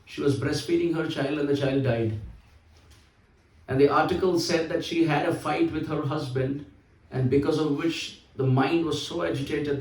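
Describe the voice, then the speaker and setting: A man's voice: a little high, darkish tone, distant mic. Emphatic man preaching in an echoey hall. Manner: emphatic, repeats key phrases. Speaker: spiritual speaker. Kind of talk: sermon.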